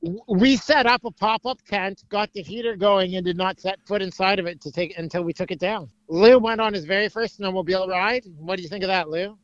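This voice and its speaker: Dull dry cell phone audio, high-pitched male, casual chat, phone interviewee. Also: a little fast, hardly any pauses, no echo. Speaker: phone interviewee, amateur ice fisherman.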